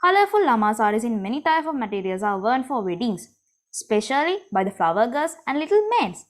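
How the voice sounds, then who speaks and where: A woman giving a prepared class presentation, reading clearly and steadily. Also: a little high, very animated. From Sri Lanka. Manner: reads from a script, clear and measured. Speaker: student presenter.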